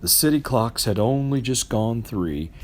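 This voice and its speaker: A middle-aged man narrates literary fiction as an expressive storyteller, with emphatic, theatrical and deliberate phrasing. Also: medium pitch, slight background noise. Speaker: storybook reader.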